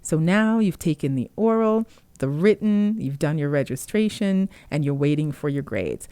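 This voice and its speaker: A woman, a native Haitian Creole speaker, explaining medical interpreter certification step by step in a relaxed teaching talk with some fillers. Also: low voice, normal speed, smooth, dry recording. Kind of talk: informal instructional talk.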